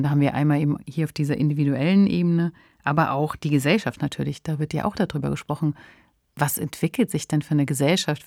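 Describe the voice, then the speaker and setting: A woman co-host speaks in a low, dark and mellow voice. She comments conversationally, as on a podcast, in a very dry studio sound. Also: no room sound.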